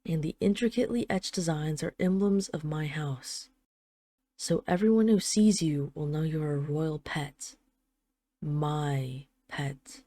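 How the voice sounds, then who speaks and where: A woman's voice: low-pitched, slow pace, dark tone, no echo. A woman playing a haughty, teasing dragon queen in an intimate audio roleplay, with an imperious, sarcastic, mock-sweet manner. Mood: smug, possessive. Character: haughty, teasing dragon queen, possessive of her human pet husband. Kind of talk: intimate scripted audio roleplay addressed to the listener.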